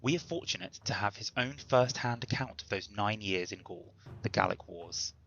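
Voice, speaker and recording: Man, scripted narration, sharp forceful tone, lowish pitch, formal emphatic delivery, dull lo-fi sound. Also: moderate pace, vocal fry. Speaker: presenter introducing a dramatic reading. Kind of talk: scripted narration, historical introduction.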